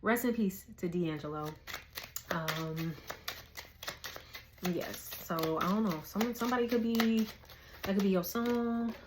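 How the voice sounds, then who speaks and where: A woman's voice: mid-range voice, slow, long pauses, noisy background. A woman, giving a casual, slangy tarot reading and thinking aloud as she goes. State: hoarse. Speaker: tarot reader.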